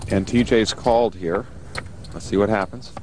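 A man's voice: on the low side, moderate pace, a little mellow, slight background noise. A man giving color commentary as an analyst on a televised poker game.

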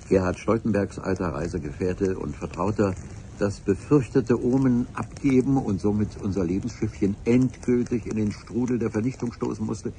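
Mock-solemn memoir narrator for radio adventure; literary phrasing, mellow tone, slightly deep. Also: male voice, vocal fry. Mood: ominous. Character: grave male memoir narrator, a politician recounting his adventure. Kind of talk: scripted radio play narration.

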